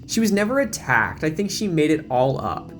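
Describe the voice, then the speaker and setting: A man's voice: fairly high pitch, big pitch swings, normal speed, faint music behind, pitched above the performer's own voice. Man playing a snide, gossiping teenage mean girl. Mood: dismissive. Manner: snide, dismissive, sardonic.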